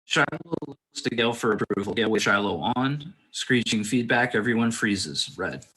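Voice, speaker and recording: Man, script narrator, lowish pitch, crisp bright tone, table read delivery. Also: animated, moderate pace, poor audio. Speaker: narrator reading stage directions.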